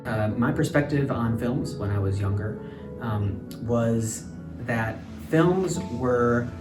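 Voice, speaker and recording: A man's voice: mid-range voice, takes it slowly. A man in his thirties answering interview questions in a hesitant, thoughtful way with frequent ums. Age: 30s. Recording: roomy, distant mic, music underneath.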